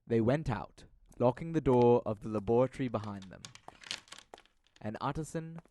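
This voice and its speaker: A man's voice: mid-range voice, animated, moderate pace, dark tone. A young man giving scripted narration for an audio drama. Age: young adult.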